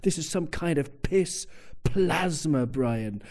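A man's voice: a little high, expressive, takes it slowly, a little mellow. A man co-hosting a casual football chat, rambling with wry humor. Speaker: podcast co-host.

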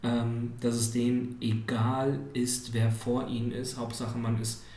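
A man's voice: medium pitch, flat delivery, a little slow, a little mellow, slight background noise. Rambling man in his thirties, echoey room. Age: 30s. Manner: rambling, filler-heavy storytelling. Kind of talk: casual chat. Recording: echoey room with faint ambience.

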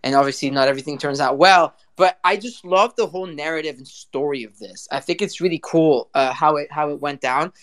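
A man's voice: fairly high pitch, brisk, hardly any pauses, a bit bright. A man hosting a live audio chat show, talking casually in slang and often repeating his words as he goes. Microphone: remote live audio call.

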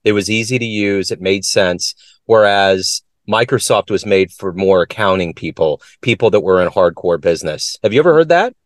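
A man's voice: sits low, animated, normal speed, no echo, studio quality. A man hosting an interview, asking curious, friendly questions and lifting his voice on each question. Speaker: interviewer and show host. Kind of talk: conversational interview.

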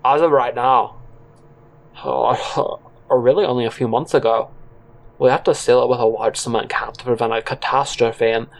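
A man playing a disgruntled former fast-food worker, rambling with halting, deadpan earnestness in an audio drama monologue. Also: mid-range voice, creaky, lightly acted. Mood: earnest, aggrieved. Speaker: narrator and character in a solo audio drama.

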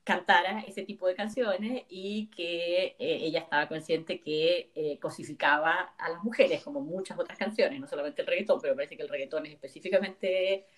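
A woman in her forties hosts a relaxed podcast conversation. She talks in a chatty, easygoing way and laughs often. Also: fairly low pitch, moderate pace, hardly any pauses. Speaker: podcast co-host. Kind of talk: casual podcast chat.

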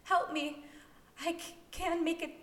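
A woman's voice: fairly even intonation, unhurried. A woman voicing a desperate, dying pregnant witch, gasping, pleading and crying out shrilly in an audiobook-style story. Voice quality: shrill, screaming. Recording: echoey room with background noise.

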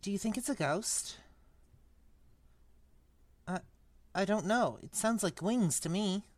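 A woman reads as a blunt schoolboy in a storybook, nervous and sarcastic. The pitch swings widely as he grumbles and exclaims. The sound is close, with no room echo. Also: expressive, normal speed, a bit bright. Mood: nervous, hushed.

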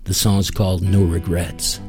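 A man hosting a music podcast, introducing songs in a halting, reflective way. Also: low voice, little pitch movement, a little mellow. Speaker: podcast host.

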